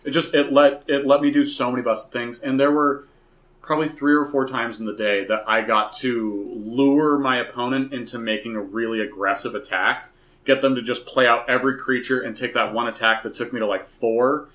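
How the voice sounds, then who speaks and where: A man chatting casually and excitedly about a card game, profane and emphatic, telling stories with gusto. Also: mid-range voice, normal speed. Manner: profane, emphatic, animated storytelling. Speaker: podcast co-host.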